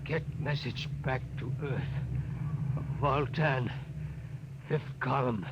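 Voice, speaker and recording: A man's voice: medium pitch, slow. A man acting a dying doctor, weakly gasping out a warning in a 1950s radio drama. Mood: failing, urgent. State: weak, gasping. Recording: very noisy, muffled old radio recording.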